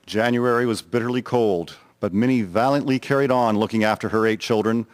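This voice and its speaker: A man's voice: fairly low pitch, brisk. A man reading scripted historical narration for a dramatic story. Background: music.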